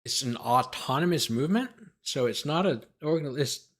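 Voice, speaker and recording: A man with a medium-pitched voice that rises and dips expressively as he talks. He works as a host and lawyer commentator, reading documents aloud and commenting off the cuff. His delivery is sardonic, slipping between formal reading and quippy asides. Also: lively intonation, normal speed.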